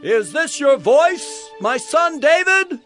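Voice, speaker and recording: A man's voice: extremely high pitch, big pitch swings, slow pace, fairly bright tone, soft background music, heavily acted. A man acting a humbled older king in a dramatized Bible story, declaiming with emotional, pleading remorse. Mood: tearful remorse. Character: remorseful older king, weeping, humbled before his rival.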